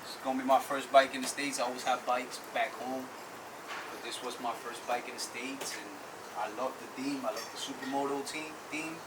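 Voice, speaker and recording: A man's voice: medium pitch, fairly quick. Laid-back man, far off the mic outdoors. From Ecuador. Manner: relaxed, says "bro" a lot, frequent restarts. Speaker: interviewee, vehicle owner. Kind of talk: casual conversational interview. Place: outdoors by vehicles. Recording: distant from mic, loud background noise.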